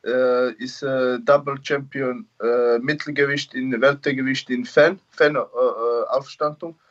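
Halting non-native man, slow and dull. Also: mid-range voice, fairly even intonation. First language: not German. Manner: halting, searching for words in German. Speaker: interview guest, fighter. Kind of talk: interview answer.

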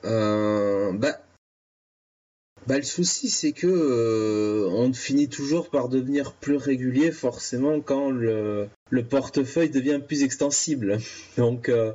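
A man in his thirties from France, chatting casually on a comics podcast, halting and searching for his words. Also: mid-range voice, warm tone. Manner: hesitant, playful, searching for words. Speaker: podcast co-host. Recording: dull lo-fi sound.

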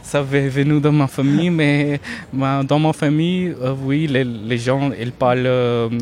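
A man's voice: medium pitch, steady tone, unhurried, a little mellow, a little hiss or hum. A man from North India, a native Hindi speaker, talking in careful, hesitant French as an interview guest, with many restarts and fillers. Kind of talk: casual conversational interview.

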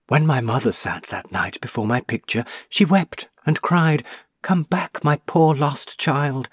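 A man's voice: medium pitch, husky, no echo, studio quality. A man reading an audiobook, voicing the ghost of a young woman who was burned as a witch, tender and pleading. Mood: anguished. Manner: pleading, tender, rising to anguish.

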